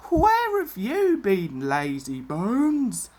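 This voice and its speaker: A woman voicing a sickly, mournful male steam engine in a children's storybook, with plaintive, drawn-out complaining. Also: mid-range voice, huge pitch swings, darkish tone, exaggerated voice. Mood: cocky.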